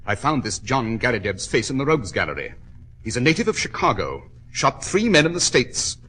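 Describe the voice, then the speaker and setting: An actor playing a shrewd, secretive English detective in an old-time radio drama, clipped and authoritative. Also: male voice, medium pitch, sing-song, fairly quick, bright, forceful tone. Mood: grave, urgent.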